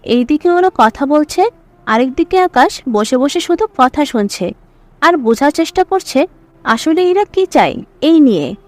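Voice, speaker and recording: A woman's voice: high-pitched, sing-song, a little slow, no room sound. A woman reading scripted narration for a serial story.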